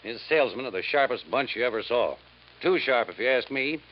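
An actor playing a brisk, matter-of-fact insurance man briefing an investigator in a 1950s radio drama. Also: mid-range voice, lively intonation, a little hiss or hum, close to an everyday voice. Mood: wry, explanatory. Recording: dull 1950s radio recording.

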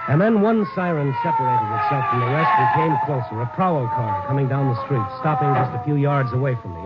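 An actor playing a wry, world-weary newspaper night reporter in a 1950s radio drama, with a sardonic, hard-boiled way of storytelling. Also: male voice, a little high, close to an everyday voice. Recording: muffled 1950s radio recording, music behind.

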